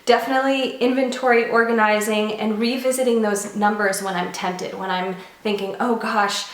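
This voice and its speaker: A woman's voice: mid-range voice, fairly even intonation, moderate pace, roomy sound. A young woman vlogging casually to camera, nasal from a cold, chatty and earnest. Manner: chatty and earnest, with light laughs. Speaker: beauty vlogger.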